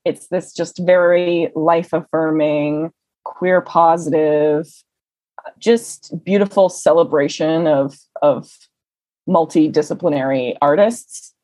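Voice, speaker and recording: A young woman telling stories in a casual interview, chatty and animated, with lots of "um" and "like". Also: deep voice, takes it slowly, no echo. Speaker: interview guest. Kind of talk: casual conversational storytelling.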